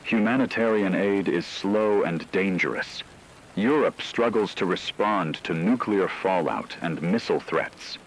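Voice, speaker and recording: A man's voice: low voice, measured pace, slight background noise, low-quality recording. A man playing a solemn radio anchor, reading a portentous scripted dramatic news report about a catastrophe. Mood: grave, solemn. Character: grave male radio anchor reporting nuclear war.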